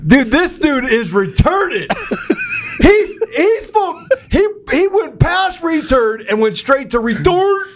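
High-pitched, animated man hosting banter. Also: takes it slowly, noisy background. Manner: mocking, excitable. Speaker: talk show host. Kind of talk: casual political banter.

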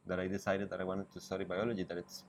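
A man's voice: deep voice, flat delivery, very fast, slight background noise. A Costa Rican man with a Spanish accent, a biologist answering interview questions, often restarting his sentences and laughing easily. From Costa Rica. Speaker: guest, biologist. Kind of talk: interview conversation. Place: riverbank outdoors. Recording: outdoors with faint ambience.

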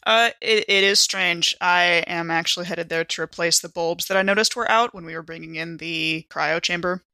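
A woman voicing a quiet, efficient ship mechanic with a curt, dry delivery. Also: lowish voice, normal speed, no echo. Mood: flat.

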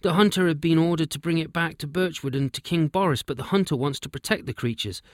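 A man's voice: on the high side, quick pace, dry recording, clean studio sound, voice pushed up, lightly acted. A man voicing a humble, out-of-breath messenger bringing urgent news in a story.

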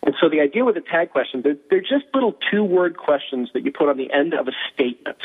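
A man's voice: a little high, lively intonation, fast, breathy edge. A man explaining persuasion techniques as a guest expert in a phone interview, in a fluent, teaching way with frequent ums. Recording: tinny phone line.

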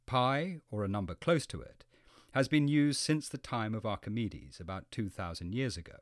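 A man reading scripted educational narration as a programme narrator, explaining things in clearly phrased sentences. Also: lowish voice, animated, moderate pace, dry recording, studio quality.

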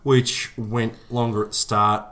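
A man's voice: fairly low pitch, level tone, slow, bright, forceful tone. An Australian man co-hosting a casual podcast chat in a pedantic, dryly sarcastic manner. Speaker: co-host.

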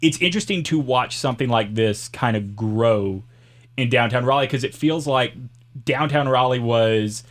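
A man's voice: medium pitch, normal speed. A middle-aged man with a mild Southern American accent, hosting a casual podcast interview, chatty and rambling, laughing often. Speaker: podcast host.

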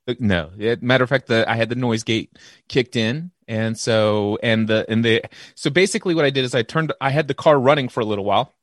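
Podcast guest, male, loud powerful voice, eager explainer, dry close-miked sound. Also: medium pitch, a little fast, no room sound. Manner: enthusiastic, explains gear in detail. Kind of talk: casual podcast conversation. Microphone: close dynamic microphone.

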